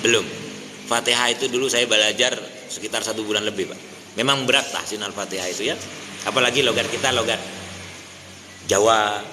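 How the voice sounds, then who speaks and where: A man preaching a sermon, questioning his listeners and chanting verses slowly. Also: mid-range voice, bright, forceful tone. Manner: addresses listeners as Pak, asks the crowd questions. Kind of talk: mosque sermon. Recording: hall reverb, faint noise.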